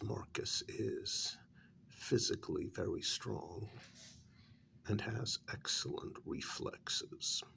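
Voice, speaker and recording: A man playing a calm, courteous, frank human mediator in a soft-spoken ASMR vampire role-play, with a breathy, whispery voice and a hesitant, measured delivery. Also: fairly low pitch, a little hiss or hum, full character voice. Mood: calm, firm. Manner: slow, hesitant, polite, measured.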